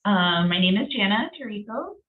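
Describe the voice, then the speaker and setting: A woman who works as a senior education specialist, introducing herself in a friendly, quick manner on a video call. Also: mid-range voice, sing-song. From United States. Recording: distant, phone-like, echoey line with faint noise.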